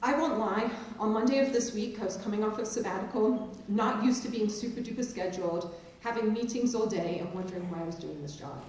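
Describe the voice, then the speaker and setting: Woman, 40s, english-new jersey accent, chatty self-deprecating speech, booming hall reverb, mic set far off. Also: fairly quick, darkish tone, a little hiss or hum. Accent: mixed English and New Jersey, with some Maryland Eastern Shore. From England, raised in New Jersey. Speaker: professor giving a keynote address. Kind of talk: speech to an audience. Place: large auditorium stage. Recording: very echoey hall, distant mic.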